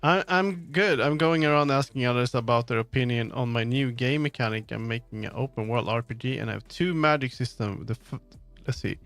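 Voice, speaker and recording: Casual male livestreamer, average pitch, muttery and wandering delivery, light music behind. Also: a little fast, creaky, hardly any pauses, fairly bright tone, no echo. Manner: rambling, muttering to himself, jumping between thoughts. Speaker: streamer.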